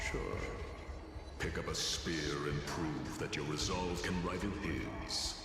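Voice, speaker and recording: Slow, booming mad titan king, cavernous echo. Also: gravelly, heavily acted. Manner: slow, theatrical, commanding declamation. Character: mighty mad warrior king, titan of strife, grandiose and proud. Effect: cavernous reverb on the voice. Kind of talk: dramatic video game voice acting. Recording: echoing long reverb, loud music behind.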